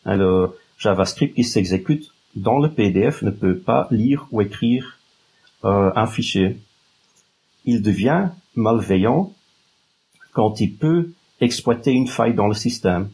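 A man from Flanders explains things as a guest expert, with a Flemish accent from his native Dutch. His voice is fairly low and husky, and he feels his way through sentences. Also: expressive, slow, mellow, low-quality recording. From Flanders, Belgium. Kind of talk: explanatory interview talk.

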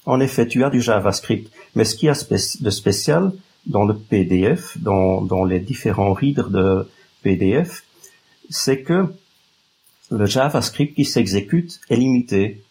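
A man's voice: on the low side, a little slow, a little mellow, breathy edge, rough recording. A Flemish man, a native Dutch speaker with a Flemish accent, explaining as an expert guest and often searching for words. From Flanders, Belgium. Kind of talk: explanatory interview talk.

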